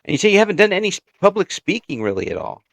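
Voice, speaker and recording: A man's voice: high-pitched, wildly swooping pitch, rapid-fire, creaky, no echo. A man hosting a casual interview podcast, chatting in an encouraging way.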